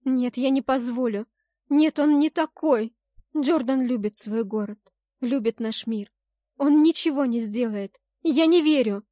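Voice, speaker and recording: A woman's voice: high-pitched, a little slow, dark tone, dry recording, clean studio sound. A woman voicing a passionate, distraught young woman in a fantasy audiobook, emotional and pleading. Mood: tearful defiance. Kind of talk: dramatic fantasy audiobook acting.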